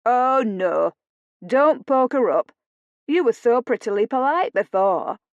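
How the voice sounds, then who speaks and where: A woman's voice: fairly high pitch, lively intonation, slow pace, no echo, highs cut off, voice pushed up. A woman voicing a flamboyant Yorkshire lady in her fifties, effusive, familiar and needling in an audiobook. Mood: taunting.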